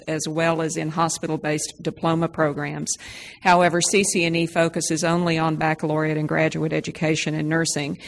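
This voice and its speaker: A woman with a Texan accent giving a folksy, upbeat conference talk with frequent fillers. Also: fairly low pitch. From Texas. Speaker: conference presenter. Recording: reverberant hall with faint noise.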